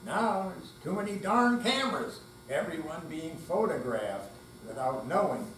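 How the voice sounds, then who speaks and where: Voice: mid-range voice, expressive, measured pace. Distant, echoing older reader on stage. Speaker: readers theater performer. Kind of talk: dramatic reading of verse and monologue on stage. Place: hall at a senior center. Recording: distant echoey room with faint mains hum.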